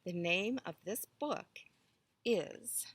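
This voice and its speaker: A woman reading a children's story aloud with expressive, deliberate phrasing. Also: mid-range voice, slightly rough, no room sound.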